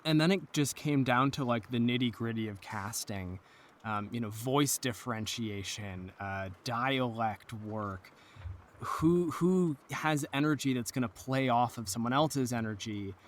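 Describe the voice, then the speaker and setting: Guy producer on a podcast, normal pitch, talking casually. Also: measured pace, a little hiss or hum. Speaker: audio drama producer, guest. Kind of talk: casual interview talk.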